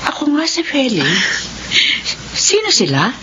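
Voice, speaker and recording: A woman's voice: high voice, wildly swooping pitch, unhurried, over loud music, heavily acted. A Filipino woman playing an emotional, worn-down middle-aged mother of a sick child in a radio drama. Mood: surprised, glad. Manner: emotional, plaintive. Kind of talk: classic Tagalog radio drama acting. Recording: dull, lo-fi, noisy radio drama recording.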